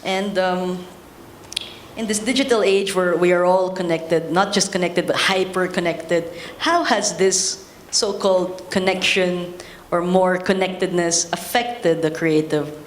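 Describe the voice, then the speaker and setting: A woman moderating a panel, putting questions to a guest in a halting way and rephrasing as she goes. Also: lowish voice, fairly even intonation. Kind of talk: interviewing panelists, partly from a script. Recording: roomy echo, faint background noise.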